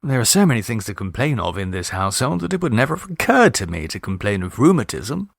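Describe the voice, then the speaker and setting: A man voicing a witty young gentleman in a storybook, lazy, droll and unconcerned. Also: lowish voice, very animated, quick pace, vocal fry, dry recording, clean studio sound, full character voice. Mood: drily argumentative.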